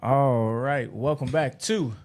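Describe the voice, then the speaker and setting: Podcast host, man, early 30s, mid-pitched, rambling and laughing, casual chat. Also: slow pace, a little mellow, slight background noise. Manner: laughs often, rambling storyteller.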